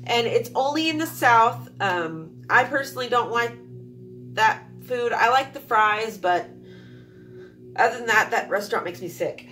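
A woman's voice: fairly high pitch, animated, a little slow, sharp tone, distant mic, soft background music. A young woman from Alaska chatting casually to camera at home, rambling with many fillers. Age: young adult. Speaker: vlogger. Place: small room at home, indoors.

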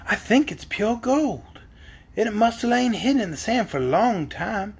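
An earnest little country girl out of a children's storybook, played by a narrator. She is polite and curious with a prim streak. Her intonation is very animated, with a soft rasp in the tone. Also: medium pitch, moderate pace, pitched above the performer's own voice. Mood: wondering.

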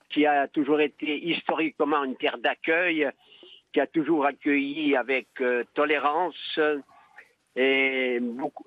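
A man calling in to a radio show, asking a deliberate, hesitant question. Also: on the high side, no echo. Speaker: caller. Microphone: telephone. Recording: phone line.

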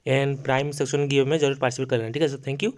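A man's voice: mid-range voice, flat delivery, quick pace. A man giving casual game update commentary as a YouTuber, explaining things repetitively with plenty of fillers. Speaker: gaming YouTuber. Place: voice-over on mobile game screen recording.

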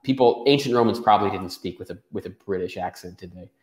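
A young American man asks curious, chatty questions in a relaxed way. His voice sits a little low, and his video-call audio sounds roomy. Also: fast. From United States. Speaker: interviewer, channel host. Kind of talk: casual interview over video call. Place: room at home.

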